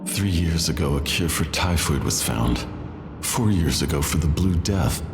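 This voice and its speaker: A man's voice: rumbling low, animated, a little slow, heavily acted. An actor playing a proud, assured man of science with a formal, boastful manner in a video game. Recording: echoing hall with prominent music.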